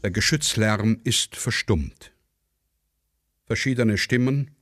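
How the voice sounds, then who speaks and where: A man's voice: lowish voice, slow, fairly bright tone, no echo, studio quality, faint music behind. A man reading stage directions as the narrator of a scripted drama.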